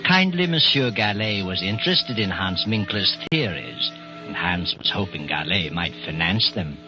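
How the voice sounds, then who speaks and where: Low, ominous mystery storyteller. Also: male voice, animated, moderate pace. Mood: ominous, mysterious. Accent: American. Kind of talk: 1940s radio mystery narration. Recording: muffled 1940s radio broadcast with music.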